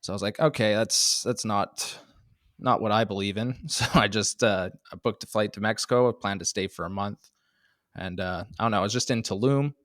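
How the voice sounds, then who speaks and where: A man's voice: lowish voice, brisk, a bit bright, no echo, studio quality. A young adult man from Canada with a Canadian accent, chatting casually as a comedian guest on a podcast, joking and laughing often between filler words. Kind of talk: casual chat.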